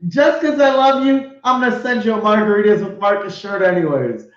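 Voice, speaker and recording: Male host, effusive and profane; high voice, echoey muffled audio. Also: brisk. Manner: effusive, swears, laughs a lot. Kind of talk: casual live show chat.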